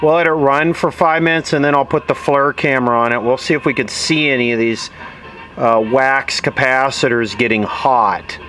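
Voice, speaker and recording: A man's voice: mid-range voice, moderate pace, sharp tone, soft background music, low-quality recording. A man narrating a radio repair at his workbench in a casual way, explaining technical details as he thinks aloud.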